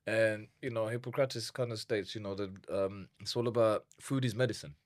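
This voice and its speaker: Low-voiced man from Zimbabwe. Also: normal speed, no room sound, studio quality. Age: 30s. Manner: animated, repeats words when thinking. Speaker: nutrition coach guest. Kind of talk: casual interview chat.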